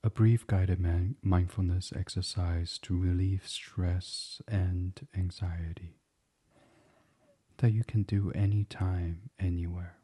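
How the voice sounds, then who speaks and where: A man guiding a bedtime meditation as a podcast host, speaking softly and soothingly in an unhurried, hushed, breathy way. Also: low voice, steady tone. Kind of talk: guided meditation, gentle bedtime reading.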